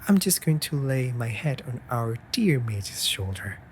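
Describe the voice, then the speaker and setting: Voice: medium pitch, big pitch swings, a little slow, slight background noise. A performer playing a playful, affectionate raven familiar in an intimate comfort audio roleplay, with teasing, mock-offended delivery. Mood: drowsy, teasing. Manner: teasing, mock-offended, breaking into soft murmurs.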